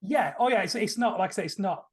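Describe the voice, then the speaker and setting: A man's voice: high-pitched, animated, fast talker, husky. A man talking casually and giving his opinions as a panellist on a film podcast.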